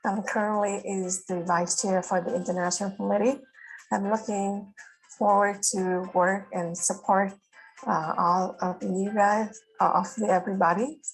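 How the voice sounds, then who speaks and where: A woman's voice: medium pitch, little pitch movement, measured pace, low-quality recording. A woman, a committee vice chair giving a hesitant recorded welcome with fillers. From Vietnam.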